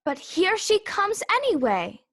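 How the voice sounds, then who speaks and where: A woman's voice: very high-pitched, very animated, measured pace, fairly bright tone, exaggerated voice. A woman playing a haughty, scornful talking flower in a children's readers theater story. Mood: watchful. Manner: haughty, disdainful.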